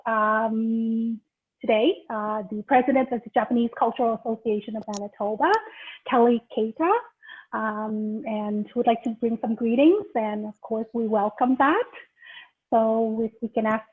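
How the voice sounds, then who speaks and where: A middle-aged woman from Vietnam with a fairly high voice hosts an online gathering. She is chatty and giggly in an informal way. The audio is thin and phone-like, as on a video call. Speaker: host of an online ceremony. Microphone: video call microphone. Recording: tinny, band-limited video call audio.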